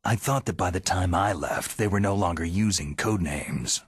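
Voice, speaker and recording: An actor playing a gruff, skeptical veteran special forces operative in a video game, with a gravelly husky voice and a terse, sardonic delivery. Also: male voice, deep voice, normal speed, no echo, clean studio sound. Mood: grim, guarded. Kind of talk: video game cutscene acting.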